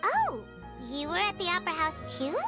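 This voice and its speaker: Squeaky, very high-pitched tiny fairy companion. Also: female voice, sing-song, normal speed. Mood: chirpy. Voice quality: squeaky, childlike. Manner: refers to herself in third person, cutesy. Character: tiny, childlike, chirpy female fairy companion, excitable. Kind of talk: video game voice acting. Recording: music under the voice.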